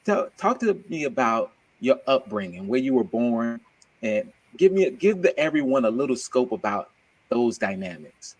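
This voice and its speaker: A man's voice: medium pitch, sing-song, moderate pace, slightly rough, low-quality recording. A man hosting a podcast interview, upbeat and affirming, calling his guest brother. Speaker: podcast host. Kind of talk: casual interview.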